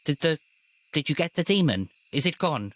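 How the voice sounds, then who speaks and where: A man's voice: a little high, very animated, a bit bright. Casting: kindly old lady, played by a man for tabletop roleplay, nervous, faltering, hiding her worry. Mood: dazed, relieved. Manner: hesitant, halting, repeats words. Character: kindly, evasive elderly woman, anxious and faltering.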